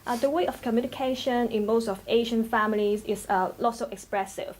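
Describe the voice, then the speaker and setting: A woman's voice: on the high side, steady tone, brisk, darkish tone, poor audio. A woman from Hong Kong interviewing a filmmaker, asking hesitant questions full of ums.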